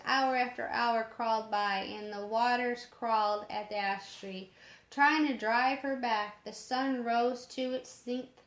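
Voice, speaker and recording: A woman reading a story aloud in a measured way, sometimes stumbling over harder words, in an echoing room. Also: fairly high pitch. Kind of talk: storybook narration. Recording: roomy echoing home recording.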